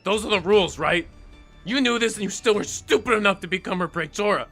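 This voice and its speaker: A man playing a bitter, wounded young man in an audio drama, with a raspy voice and a blunt, accusing manner. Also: high voice, a little fast, a bit bright, faint music behind. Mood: furious.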